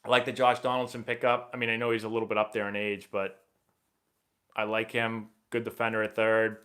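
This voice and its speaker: A young man hosting a live baseball stream, chatting casually and rambling excitably as he answers viewer questions. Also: lowish voice, brisk. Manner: rambling and excitable, many fillers and restarts.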